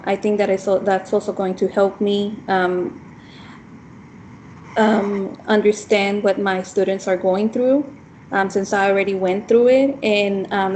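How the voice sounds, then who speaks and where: A young woman whose first language is Spanish speaks slowly and carefully. She hesitates often, filling the gaps with ums and restarting her sentences. Her pitch sits mid-range, and a faint hiss runs under the recording. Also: darkish tone, low-quality recording. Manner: halting, many ums and restarts. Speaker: student teacher in training. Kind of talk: casual class discussion.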